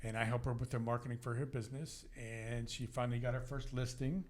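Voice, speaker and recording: A man's voice: medium pitch, steady tone, fast. A man, hosting a real estate podcast in upbeat, friendly casual chat. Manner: upbeat, friendly, loose with fillers. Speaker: podcast host. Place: studio with guest beside him.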